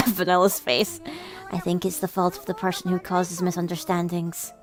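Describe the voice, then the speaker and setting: A woman's voice: lowish voice, moderate pace. A woman reading a visual novel aloud as a let's play host, with casual reactions of her own. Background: game music.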